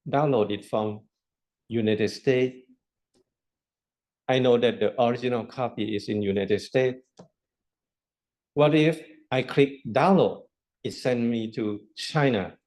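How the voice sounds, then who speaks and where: A man's voice: mid-range voice, darkish tone. A man lecturing a university class, explaining step by step with rhetorical questions and repetition. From Thailand.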